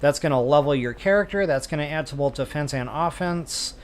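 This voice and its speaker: Higher-voiced man doing game commentary. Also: a little fast. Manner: chatty, addresses viewers informally. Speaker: gaming streamer. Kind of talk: casual video game walkthrough commentary.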